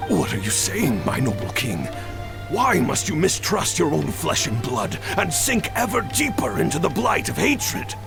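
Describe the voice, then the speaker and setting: An actor playing a wise old general and royal counselor in a video game's stage play, grave, eloquent and declamatory. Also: male voice, a little high, sing-song, measured pace, vocal fry, heavily acted. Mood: indignant, pleading. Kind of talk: theatrical stage-play acting in a video game. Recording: music behind the voice.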